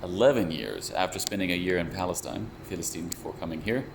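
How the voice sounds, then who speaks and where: A young man working as a VR researcher and developer, explaining his views in a measured, thoughtful way with frequent restarts. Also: low-pitched, vocal fry. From United States. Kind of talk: explanatory interview talk. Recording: steady air conditioner hum behind. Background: air conditioning hum.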